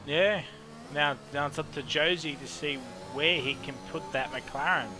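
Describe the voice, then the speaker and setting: A man commentating a live online racing league, reacting with quick exclamations and chatty asides. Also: fairly high pitch, over music. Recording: race game engine noise behind voice.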